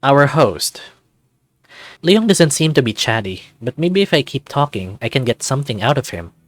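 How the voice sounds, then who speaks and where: A man's voice: medium pitch, big pitch swings, normal speed, no echo, soft background music. A man reading visual novel narration and game text aloud.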